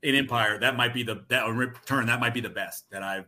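A man's voice: medium pitch, fairly quick, pushed and bright. A man in his fifties guesting on a sports podcast, wry and joking. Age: 50s. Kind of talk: casual podcast interview.

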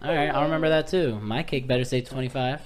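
A woman's voice: extremely low pitch, steady tone, quick pace. A woman in her twenties hosting a podcast, teasing her friends in loose, profane banter. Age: 20s. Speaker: podcast host. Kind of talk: casual chat.

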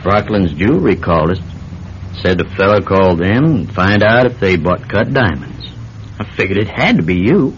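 An actor playing a wry, unhurried, folksy small-town police chief in a classic radio drama. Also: male voice, deep voice, sing-song. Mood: wry, confident. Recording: dull, noisy old radio recording.

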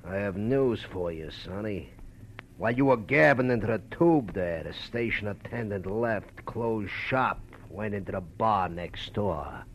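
A man's voice: lowish voice, animated, measured pace, slight background noise. An actor playing a big, blunt, menacing gunman in an old-time radio detective drama, taunting and condescending. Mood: taunting menace. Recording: muffled vintage radio recording.

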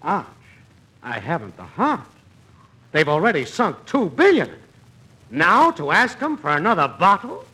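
An actor playing a grandiose, wheedling schemer in 1940s radio comedy, coaxing theatrically with little stammers. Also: male voice, fairly high pitch, wildly swooping pitch, a little slow, pauses often, bright, forceful tone, husky, full character voice, rough recording. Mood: confiding, excitable. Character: fast-talking, wheedling, grandiose male swindler friend. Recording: vintage radio recording with faint noise.

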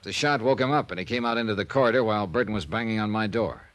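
An actor playing a brisk, wry freelance insurance investigator who narrates and talks in a dry, clipped way, in a 1950s radio drama. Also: male voice, on the low side, fairly bright tone, no echo, close to an everyday voice. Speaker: narrator and lead.

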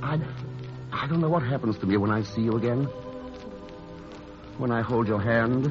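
An actor playing a wistful romantic painter in a classic radio drama, hesitant and bantering with an old love. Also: male voice, medium pitch, normal speed, natural voice. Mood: wistful, hurt. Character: wounded, wry romantic painter visiting his lost love. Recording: muffled old radio broadcast, music underneath.

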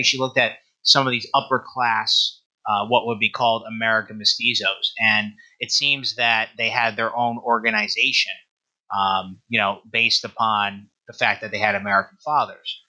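A man speaking as an academic interview guest, explaining research in an emphatic, halting way with repeated phrases. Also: on the low side, fairly even intonation, sharp tone, low-quality recording. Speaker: guest author and historian discussing own book.

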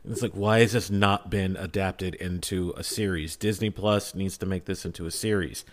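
Emphatic low-voiced man chatting as a fan. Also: fairly even intonation, moderate pace. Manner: emphatic, fervent, urging listeners. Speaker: podcast panelist. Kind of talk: casual fan discussion.

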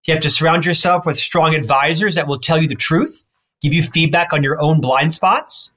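A man with a stutter speaks as a guest in an interview, his voice sitting a bit higher than most men's. He talks emphatically and rattles off his points in quick runs. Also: sharp tone. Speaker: leadership expert guest. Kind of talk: interview answers.

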